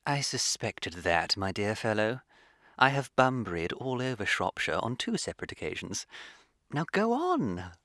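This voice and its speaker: A witty young English gentleman of leisure in a comic radio play. His voice is low and swoops freely through arch, languid, precisely placed phrases. The sound is close and dry. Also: sing-song, moderate pace, no echo. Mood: playful.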